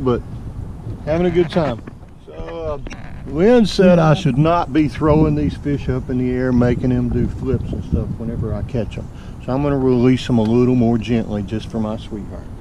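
A man's voice: mid-range voice, moderate pace. A middle-aged man chatting casually to his action camera while fishing from a small boat outdoors, with wind and boat noise on the mic. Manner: easygoing, chatty, talks while casting and reeling. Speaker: fishing vlogger.

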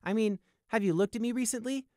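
A small, mouthy young pony prisoner acted out by a man in an audiobook tale. The voice leaps high and swoops about, flippant and needling, with a theatrical, mocking edge. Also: sing-song, moderate pace, darkish tone, dry recording, studio quality. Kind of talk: audiobook character acting.

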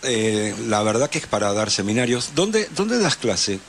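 Halting Argentine male radio host. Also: on the low side, very animated, slight background noise. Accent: Argentine Rioplatense Spanish. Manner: halting, searching for words. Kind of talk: casual interview.

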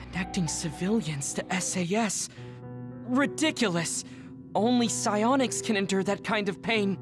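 A woman voicing a serious, questioning young comrade in an anime-style video game, with a sharp, skeptical manner. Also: medium pitch, lively intonation, a little slow, over music, lightly acted. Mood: indignant.

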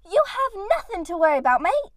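Woman putting on a high, perky Aussie-style cartoon girl. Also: very animated, brisk, no echo, clean studio sound. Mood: cheeky, reassuring. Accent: attempted Australian. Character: perky young girl in an Australian-style accent, cartoon fan dub. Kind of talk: fan comic dub voice acting.

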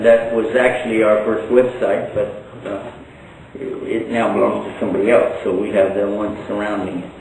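A man's voice: lowish voice, a little mellow, slight background noise. An older man from Texas with a Texan accent, giving a folksy religious lecture with joking asides. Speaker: Islamic preacher. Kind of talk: public lecture. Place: large hall, indoors. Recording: echoey hall from a distance.

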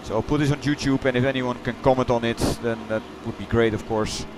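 A middle-aged man with a Dutch accent, chatting loosely through a replay of his racing game run, halting and correcting himself as he goes. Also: medium pitch, steady tone, noisy background. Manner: halting, rambling, self-correcting. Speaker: sim racing streamer. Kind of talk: casual replay commentary. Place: home gaming room.

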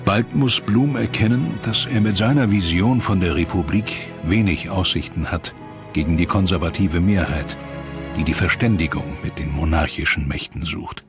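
Low-voiced male documentary narrator over music. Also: normal speed. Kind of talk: scripted historical documentary narration.

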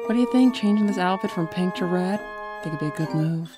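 A woman's voice: lowish voice, quick pace, close to an everyday voice. Sultry, teasing bat lady played by a woman. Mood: flirty, teasing. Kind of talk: comic dub voice acting. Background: music.

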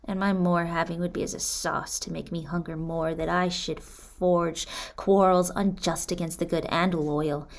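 A woman acts a guarded, noble young prince in exile. The voice is a little low, and the verse is measured and declaimed as in radio tragedy. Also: little pitch movement, close to an everyday voice. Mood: grave, self-accusing. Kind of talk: Shakespearean radio drama.

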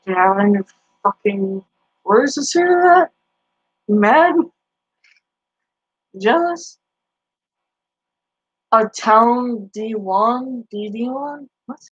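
Boston girl streamer on a headset, swearing a ton, slow drawl, kinda muffled lo-fi audio. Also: fairly high pitch, expressive, slightly rough, no echo. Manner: brash, profane trash talk. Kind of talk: live stream chat reply. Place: small bedroom. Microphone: headset mic.

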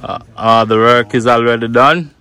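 A man's voice: mid-range voice, steady tone, normal speed, bright, forceful tone, clean tone. A man with a Jamaican accent having a casual chat out in a yard. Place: outdoors in a grassy yard.

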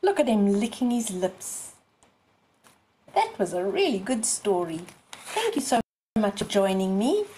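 A woman in her sixties reading aloud expressively for young children, phrase by deliberate phrase. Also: mid-range voice, big pitch swings, dark tone, slight background noise. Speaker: storyteller.

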